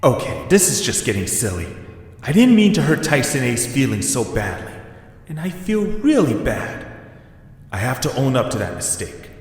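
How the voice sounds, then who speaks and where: A man's voice: mid-range voice, sing-song, normal speed, darkish tone, husky, heavily acted. A man acting an earnest, encouraging young male character in a scripted audio story, speaking conversationally. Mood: guilty, hesitant. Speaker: narrator and voice of the main character.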